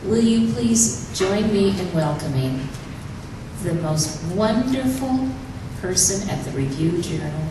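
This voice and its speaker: A woman's voice: mid-range voice, lively intonation, slow. A woman hosting an event, giving a formal introduction of a speaker to the room. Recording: echoey room, from a distance, noisy.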